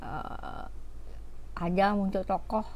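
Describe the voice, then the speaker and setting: A woman's voice: medium pitch, slow, a little mellow, a little hiss or hum. A woman giving an informal history talk, explaining in a conversational way with rhetorical asides. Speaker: history storyteller. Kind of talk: informal spoken history lecture.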